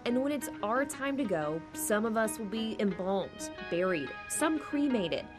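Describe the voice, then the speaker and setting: A woman news reporter reading a scripted voice-over in a measured, deliberate way. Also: on the high side, sing-song. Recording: voice-over with music bed.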